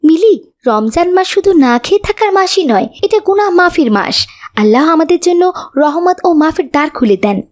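A woman's voice: very high voice, expressive, normal speed. A woman voicing a humble, devout young girl who advises earnestly in a cartoon. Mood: earnest concern. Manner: earnest, instructive.

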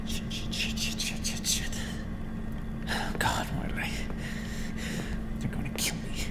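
A man performs a desperate, sardonic vampire scientist in an audio roleplay. His speech is formal and dramatic, swinging widely in pitch with a gravelly fry, inside a reverberant, noisy space. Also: sing-song, normal speed. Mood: panicked. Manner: dramatic, formal wording, often dropping to a whisper. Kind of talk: ASMR roleplay voice acting. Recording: hall-like reverb with clear background noise.

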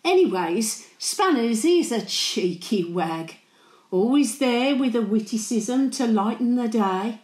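An elderly, high-voiced Brummie gran. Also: female voice, expressive, takes it slowly, a little mellow, echoey room. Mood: gossipy. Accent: Birmingham (Brummie). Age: older. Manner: rambling, gossipy storytelling. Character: chatty working-class Brummie great-grandmother, cheeky and warm-hearted. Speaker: actor performing a dramatic monologue. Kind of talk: chatty character monologue.

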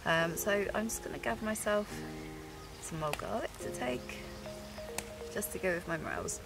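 A woman's voice: mid-range voice, moderate pace, over music. A woman in her thirties chatting casually and enthusiastically to camera as she hosts an outdoor vlog in the woods. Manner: enthusiastic, giggly. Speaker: vlog host, forager. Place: outdoors in a woodland.

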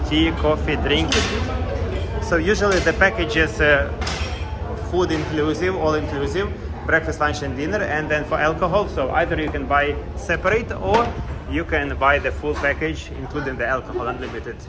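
A man giving a casual walking tour of a cruise ship on a handheld phone, speaking simple, halting English with fillers. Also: on the high side. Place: outdoor decks and indoor restaurants of a cruise ship. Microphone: handheld phone camera. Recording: loud wind and ship noise. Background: loud wind and ship ambience.

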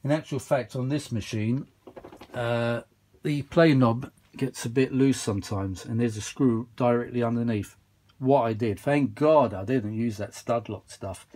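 A tired man with a husky voice, chatting casually and a little exasperatedly in a rambling hobby repair vlog, recorded indoors on a handheld camera. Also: mid-range voice, darkish tone. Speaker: vintage audio hobbyist vlogger. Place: indoors, small home workshop. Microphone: handheld phone or camera behind the lens.

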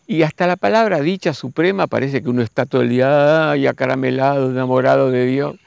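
Gravelly man in his sixties. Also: mid-range voice, darkish tone, no echo. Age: 60s. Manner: slow, musing, addresses listeners directly. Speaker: spiritual teacher. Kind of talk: reflective spiritual monologue.

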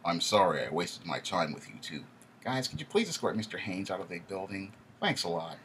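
A man's voice: low-pitched, very animated, a little fast, a bit bright, slight background noise, exaggerated voice. A man voicing a smug, elitist male company executive who is cold and falsely polite. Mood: cold dismissive.

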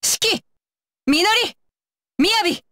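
A woman's voice: very high-pitched, lively intonation, slow pace, pushed and bright, dry recording, full character voice. A woman voicing a stern, dutiful young female ninja bodyguard for an anime game, with clipped, commanding and blunt phrasing. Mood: announcing, raised. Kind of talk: Japanese anime game voice lines.

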